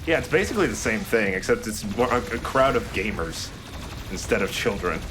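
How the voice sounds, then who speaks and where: Low-voiced young man commentating a game. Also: lively intonation, fairly quick, over music. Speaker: let's play host and player. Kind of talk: casual gameplay commentary.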